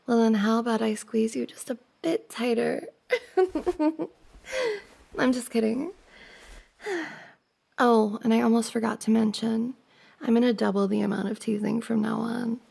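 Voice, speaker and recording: A woman playing a giggly, teasing young woman confessing to her crush in an intimate ASMR roleplay, with a creaky voice. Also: a little high, fairly even intonation, unhurried, pauses often, mellow, full character voice. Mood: playful, sleepy. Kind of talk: intimate ASMR roleplay, acted as one side of a conversation.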